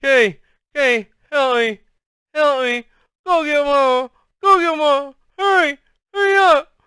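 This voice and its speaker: A man's voice: very high-pitched, slow pace, pushed and bright, dry recording, clean studio sound, voice pushed up, full character voice. A man voicing a panicked, crying boy who mumbles pleas for help as if his mouth were stuck. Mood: panicked pleading. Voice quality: muffled, mouth-full mumble. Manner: pleading, repeating cries for help.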